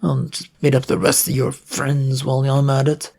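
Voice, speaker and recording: A man acts out a brash villain from an adventure tale. He sounds cocky and irritable, with a gravelly edge, sneering his way through threats and swearing. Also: medium pitch, monotone, moderate pace, darkish tone. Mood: menacing.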